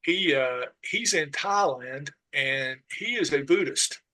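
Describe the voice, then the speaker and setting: Bright-voiced halting man. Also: fairly high pitch, lively intonation, poor audio. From Southern US. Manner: halting, many "uh"s and "you know"s. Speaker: podcast guest. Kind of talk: casual conversation.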